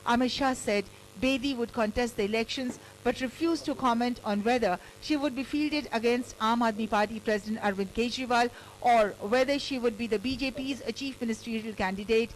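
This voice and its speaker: A woman's voice: fairly high pitch, slight background noise, low-quality recording. A woman with an Indian accent reading a scripted television news report as a reporter.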